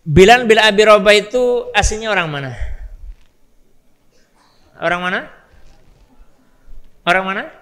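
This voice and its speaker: A man's voice: high voice, expressive, a little slow, long pauses, fairly bright tone, smooth. A man preaching in a storytelling style, emphatic, repeating phrases and putting questions to his listeners. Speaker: Islamic preacher. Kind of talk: storytelling sermon to a live congregation. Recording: long hall reverb.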